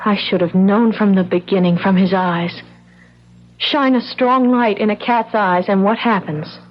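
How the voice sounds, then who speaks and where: An actress playing an anxious, insistent wife trapped in a nightmare, urgent and questioning, in 1970s radio drama style. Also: female voice, mid-range voice, a little slow, faint music behind, natural voice. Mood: grim, intent. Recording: dull lo-fi vintage radio broadcast.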